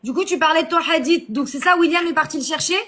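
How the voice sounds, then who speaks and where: A woman's voice: high-pitched, fast talker, fairly bright tone. A woman hosting a live online debate, hesitant and full of fillers as she brings speakers up. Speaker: live stream host.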